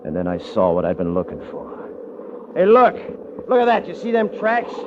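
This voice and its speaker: A swaggering, short-tempered Western rancher in an old American radio drama. He talks in a folksy cowboy drawl, mocking and bullying, with rough grammar. The vintage recording is dull, with music clearly behind the voice. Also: on the high side, huge pitch swings, normal speed. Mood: excited, alarmed. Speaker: actor and first-person narrator. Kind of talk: old-time radio drama acting, rustic Western dialect.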